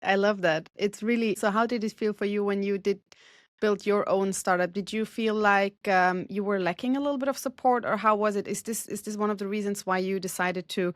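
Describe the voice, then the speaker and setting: A woman's voice: medium pitch, no room sound, studio quality. A woman hosting an interview podcast, speaking conversationally in an encouraging, affirming way to her guest. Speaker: podcast host, entrepreneur.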